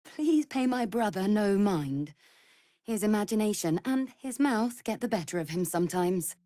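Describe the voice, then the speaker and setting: A woman's voice: mid-range voice, expressive, moderate pace, warm tone, no echo, studio quality, lightly acted. A woman playing an anxious, devoted vampire spawn in a fantasy video game, speaking formally and earnestly. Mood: composed, insistent. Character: anxious, devoted female vampire spawn serving her master.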